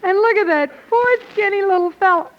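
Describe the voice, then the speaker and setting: A woman on a 1940s radio variety show, chatting and singing slow, drawn-out ballads, on a muffled old broadcast. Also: very high-pitched, slight background noise.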